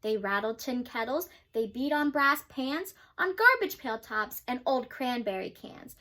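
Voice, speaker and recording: Bright-voiced young woman reading a storybook. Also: sits high, expressive, a little slow. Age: young adult. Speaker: student reader. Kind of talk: reading a children's picture book aloud.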